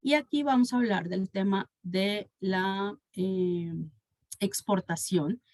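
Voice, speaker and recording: A woman's voice: medium pitch, slow, darkish tone, dry recording. A woman with a Colombian Spanish accent giving an explanatory professional presentation as a consultant, in a didactic manner and often correcting herself as she goes. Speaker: tax and legal consultant presenting a webinar.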